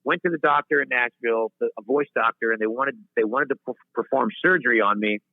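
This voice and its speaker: A man's voice: medium pitch, fast talker, gravelly, dry recording. A man in his fifties, a singer-songwriter guest telling long stories in an interview, rambling and restarting his sentences. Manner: rambling, self-interrupting, laughs at himself. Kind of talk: storytelling interview chat. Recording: tinny phone-line audio.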